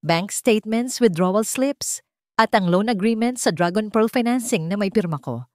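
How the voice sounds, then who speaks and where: A woman's voice: medium pitch, normal speed, no echo, voice pushed up, lightly acted. A wronged wife played by a woman reader speaks with bitter defiance, finished with being used. It is dramatic audiobook dialogue, recorded dry and close. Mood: cold challenge.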